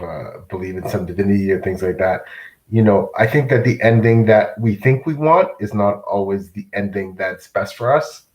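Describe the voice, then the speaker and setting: A low-voiced man hosts a casual live-streamed interview. He is often halting and searches for his words as he asks questions. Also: low-quality recording.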